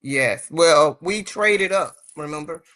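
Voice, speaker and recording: A woman guest on a live fan show, enthusiastic, piling up quick repeated yeses. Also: deep voice, a bit bright. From Jacksonville, Florida, US. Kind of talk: casual live chat.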